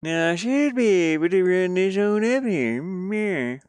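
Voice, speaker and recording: A man's voice: high voice, expressive, a little slow, clean tone, no echo. A man talking himself through his work in a casual think-aloud commentary, muttering playfully. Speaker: game developer streaming his pixel art work.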